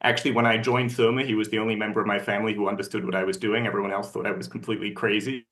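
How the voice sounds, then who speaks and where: Bright, earnest man on a panel. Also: lowish voice, steady tone, racing. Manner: earnest, enthusiastic storytelling. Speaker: panelist, bathhouse company leader. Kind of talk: panel discussion answer.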